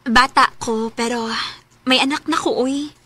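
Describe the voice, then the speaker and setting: A woman's voice: high-pitched, fairly even intonation, unhurried, very noisy. A woman with a Cebuano Filipino accent playing a forthright young single mother in her early twenties in a radio drama. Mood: earnest. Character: forthright 21-year-old single mother eager for love.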